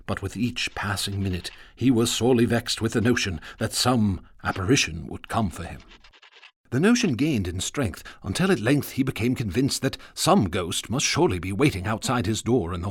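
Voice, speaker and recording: A man narrating a horror radio drama in an ornate, measured, theatrical manner. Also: on the low side, breathy edge. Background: music.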